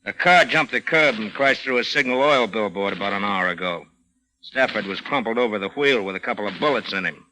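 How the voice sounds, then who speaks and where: An actor playing a dry, needling homicide lieutenant, sardonic and slyly suspicious, in an old-time radio crime drama. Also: male voice, on the low side, animated, brisk, bright, forceful tone. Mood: probing, deadpan. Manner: sardonic, probing. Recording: noisy old radio recording.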